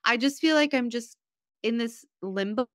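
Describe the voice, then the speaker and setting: A woman's voice: on the high side, normal speed, dry recording, studio quality. A woman from Bosnia in her thirties, a podcast guest, talking candidly and at length about her life in a heartfelt, rambling way. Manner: earnest, rambling, emotional storytelling. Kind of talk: candid personal conversation.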